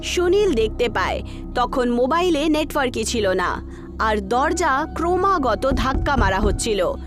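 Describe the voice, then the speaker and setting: High-pitched female horror story narrator. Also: very animated, measured pace. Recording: background music under the voice.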